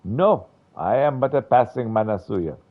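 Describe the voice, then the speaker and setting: A man's voice: lowish voice, expressive, brisk, breathy edge, phone-quality sound, voice pushed up. A man voicing a formal, fearful adventurer who tells things in florid, dramatic style. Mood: haunted, dramatic. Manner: grandiloquent, dramatic.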